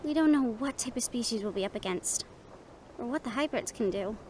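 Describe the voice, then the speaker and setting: A woman playing a lively, eager young fighter in a fantasy audio roleplay, playful and expressive with a touch of anxiety. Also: on the high side, a little fast, slight background noise, close to an everyday voice. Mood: curious, uneasy.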